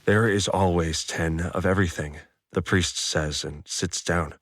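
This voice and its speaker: An actor playing an uneasy farmer, giving measured, subdued first-person narration in an audio drama. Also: male voice, deep voice, steady tone, no room sound, studio quality. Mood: grim. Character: uneasy male farmer recounting events in a confined community.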